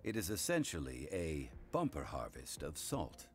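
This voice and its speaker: Very deep, dignified scholarly man. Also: huge pitch swings, full character voice. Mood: composed, formal. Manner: formal, measured, unhurried. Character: calm, dignified, scholarly male consultant and negotiator. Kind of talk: anime game voice acting. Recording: music behind the voice.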